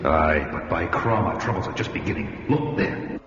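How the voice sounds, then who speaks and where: Bold barbarian warrior, radio drama, archaic wry delivery, long reverb, dull recording. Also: male voice, deep voice, animated, normal speed. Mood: wary. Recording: dull radio recording, heavy cavern echo, music.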